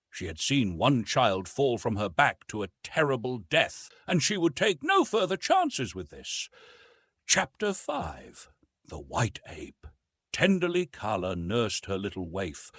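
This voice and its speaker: A man reads literary fiction as an audiobook narrator. His pitch moves widely and expressively through measured, emphatic phrases. The voice has a husky grain and sits in a dry recording with no room sound. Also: fairly bright tone, dry recording.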